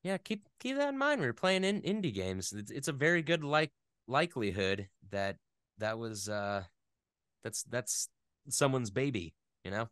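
A man's voice: mid-range voice, big pitch swings, normal speed, a bit bright, clear, resonant tone, dry recording, studio quality. A man speaking as an interview guest in a casual, thoughtful, slightly rambling way. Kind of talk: casual chat.

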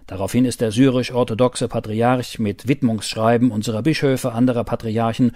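A man reading a scripted news reportage voice-over in a measured documentary manner. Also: mid-range voice, fairly even intonation, a little mellow, no echo. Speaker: voice-over narrator.

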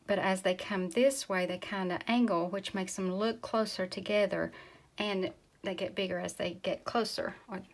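A woman from Tennessee giving a relaxed step-by-step painting lesson, talking as she paints and sometimes dropping to a whisper. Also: medium pitch, moderate pace. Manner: relaxed, talks while painting, drops to a whisper at times. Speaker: painting instructor. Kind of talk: casual step-by-step tutorial. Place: indoors at a work table.

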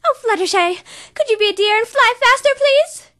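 Woman playing a refined melodramatic cartoon unicorn, posh theatrical delivery, lively swooping pitch, bright tone. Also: moderate pace. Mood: whiny. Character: dramatic refined female unicorn, fashion-loving diva. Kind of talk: cartoon voice acting reel.

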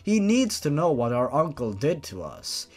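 Man putting on an insistent, high-pitched sister. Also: very animated, moderate pace, darkish tone.

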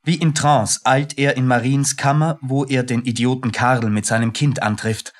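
Male radio play narrator, medium pitch. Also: fairly even intonation, normal speed.

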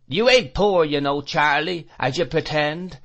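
A man puts on a cheeky street boy from a storybook. The voice is raised high and calls out in a jeering, taunting way. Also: unhurried, a bit bright, muffled recording. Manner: jeering, calling out.

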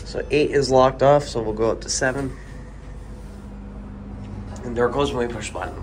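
A man talks through his elevator ride in a plain, matter-of-fact way, filming on a handheld phone. His medium-pitched voice sits over clear background noise from the parking garage. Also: normal speed, a little mellow. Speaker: elevator video host. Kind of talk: casual narration while filming. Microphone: handheld phone camera.

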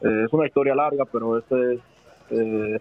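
A man's voice: mid-range voice, steady tone, normal speed, no echo. A young Dominican man, a basketball player, answering interview questions in a small studio, with many fillers and restarts. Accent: Dominican Spanish. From Dominican Republic. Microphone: headset and desk mic. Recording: dry, phone-like narrow sound.

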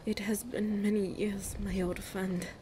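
Woman playing a slow, regal monster empress. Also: mid-range voice, level tone, noisy background, natural voice. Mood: stately, grave. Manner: stately, measured, formal. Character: regal, commanding monster empress, old ally of dragons. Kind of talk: fantasy audio roleplay acting.

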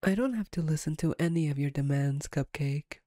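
A woman's voice: sits low, fairly quick, warm tone, no room sound, clean studio sound, natural voice. A woman playing a playful, domineering female vampire captor in an intimate ASMR roleplay, teasing and sardonic.

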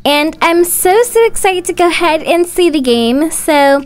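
A woman's voice: extremely high pitch, expressive, unhurried. A woman narrating a scripted family roleplay story, voicing its characters. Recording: music playing underneath. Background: music.

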